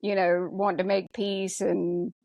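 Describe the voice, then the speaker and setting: Gravelly woman, blunt and dry-sounding. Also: mid-range voice, flat delivery, measured pace, no echo, highs cut off. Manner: blunt, sardonic. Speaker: podcast host. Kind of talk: casual chat.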